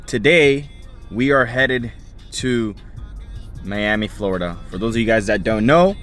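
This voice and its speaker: A man's voice: on the low side, expressive, unhurried, a bit bright, faint music behind. A young man from Puerto Rico, a powerlifter, chatting to camera in a loose, rambling, upbeat way while driving his car. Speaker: vlogger and powerlifter. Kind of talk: casual vlog chat to camera.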